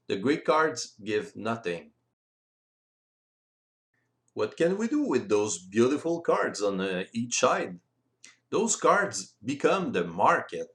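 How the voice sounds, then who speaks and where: Guy explaining how a board game works, step by step, pitch bouncing around a lot. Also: a bit bright. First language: French. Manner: step-by-step, deliberate, simple phrasing. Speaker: board game rules presenter. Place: voice-over recorded separately from the video.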